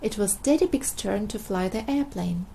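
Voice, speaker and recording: A woman reading a children's storybook aloud in an expressive style. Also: medium pitch, moderate pace, vocal fry, slight background noise. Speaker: storybook reader.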